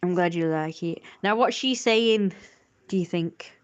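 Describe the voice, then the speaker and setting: A woman's voice: on the low side, measured pace, clear, resonant tone. A woman chatting casually on a livestream as she draws, rambling and self-critical. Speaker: streamer and artist drawing. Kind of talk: casual livestream chat while drawing.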